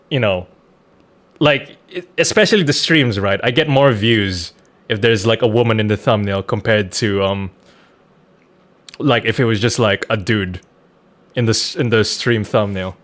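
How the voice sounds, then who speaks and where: A man's voice: on the low side, a bit bright. A man chatting informally as a game streamer, swearing now and then and repeating himself for emphasis. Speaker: streamer, voice actor. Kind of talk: casual livestream chat while gaming.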